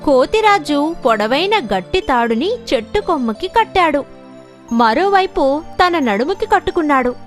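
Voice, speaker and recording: A woman's voice: sits high, expressive, normal speed, soft background music. A woman narrating a scripted children's story as a storyteller.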